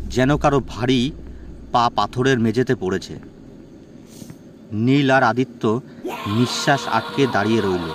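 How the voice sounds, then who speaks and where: A man's voice: mid-range voice, slight background noise. A man telling a horror story as a dramatic, scripted narration. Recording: music bed behind the voice.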